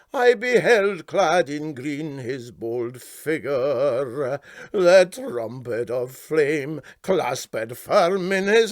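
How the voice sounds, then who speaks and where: A man voicing a vain, sentimental and self-important steamboat skipper with a Scottish Highland accent, for a storybook. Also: no echo, studio quality. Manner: ponderous, self-important, hesitant.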